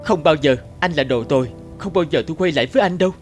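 An actress voicing a distressed, wounded young woman in an animated drama, emotional, indignant and pleading. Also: sits low, fast, music in the background, close to an everyday voice. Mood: indignant, defiant. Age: young adult. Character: distressed young engaged woman, defiant, wounded, guilt-ridden.

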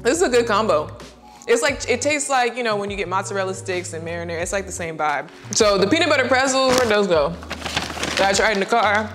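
A young woman in her twenties, talking casually and thinking aloud as she shows and tastes her groceries, laughing often. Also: mid-range voice. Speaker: lifestyle vlogger. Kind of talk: casual haul and taste-test vlog. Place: car, then a home kitchen.

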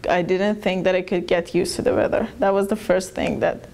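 A young woman from Iran, interviewed in a small plain room on a lapel mic, telling her story in a thoughtful, soft-spoken way with some restarts. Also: lowish voice, fairly even intonation, brisk, gravelly. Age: young adult. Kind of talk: personal account.

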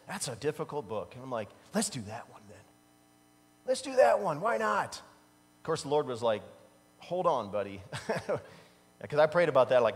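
A man in his fifties preaching a casual, conversational sermon from a church pulpit, telling personal stories and chuckling at himself. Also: mid-range voice. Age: 50s. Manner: animated storytelling, laughs at his own stories. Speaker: pastor. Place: large church sanctuary stage. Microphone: standing at a pulpit mic, far camera. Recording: long reverb from a church hall.

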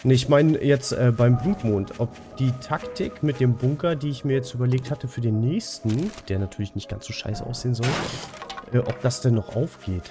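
A man's voice: medium pitch, moderate pace. A young man with a cold, hosting a co-op gameplay session in casual chat, self-mocking and full of filler sounds, on a headset at home. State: has a cold. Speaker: gaming host. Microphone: headset at desk.

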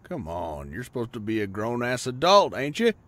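A man's voice: medium pitch, huge pitch swings, moderate pace, a bit bright. A man playing a crude young bully in an audio drama, mocking and swearing. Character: sneering, crude young male bully sidekick. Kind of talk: audio roleplay acting.

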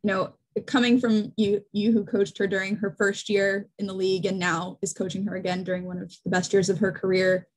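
A woman's voice: medium pitch, little pitch movement, a little fast, hardly any pauses, rough recording. A woman reporter greeting the coach and asking a long, polite question. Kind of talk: press conference question.

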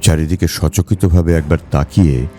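A man reading a ghost story aloud in a measured, dramatic storytelling manner. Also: very deep voice, fairly even intonation, warm tone. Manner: measured, acts out the dialogue. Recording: background music under the voice.

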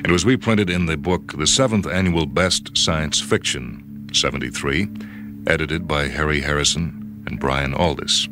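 A man's voice: extremely low pitch, measured pace. A man hosting a radio program of short stories, reading scripted introductions in a dramatic narrating style over music. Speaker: host and reader of a short story radio program. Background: background music.

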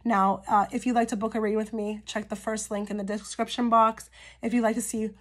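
A woman giving a casual pick-a-card tarot reading straight to her viewers, her voice a little hoarse and cracky from a cold, often checking in with "okay?". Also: medium pitch, little pitch movement, fairly quick, fairly bright tone. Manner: chatty, frequent "okay?" check-ins with viewers. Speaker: tarot reader.

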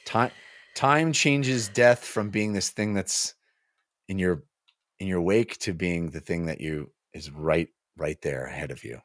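A middle-aged man with a very low voice talks on a book podcast. The sound is dry and close, as from a boom microphone in a small room. He moves between discussion and expressive reading aloud. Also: a little slow, no echo. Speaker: podcast co-host. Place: small home office, indoors. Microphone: close podcast microphone on a boom arm.

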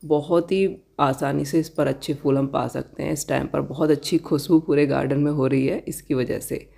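A woman giving a casual tour of her balcony garden outdoors, gently pointing out plants and giving tips to viewers. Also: low-pitched, fairly even intonation, moderate pace, creaky, breathy edge. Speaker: garden vlogger.

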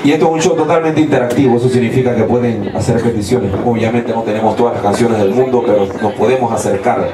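A man's voice: mid-range voice, fast talker, few pauses. A man in his thirties, a singer with a guitar, chatting casually and joking with the audience between songs. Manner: friendly and joking with the crowd. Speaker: singer and guitarist performing live. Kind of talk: casual stage banter with the audience. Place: small lounge on a boat at night. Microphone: vocal mic on a stand. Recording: roomy camera recording with loud background noise.

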